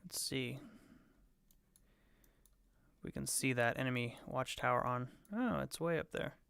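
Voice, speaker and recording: A hoarse, raspy middle-aged man calmly talking himself through a flight simulator game, thinking aloud as he flies. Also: mid-range voice, unhurried, dry recording, clean studio sound. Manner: relaxed, thinking aloud while flying. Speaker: flight sim streamer. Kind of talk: casual gameplay commentary.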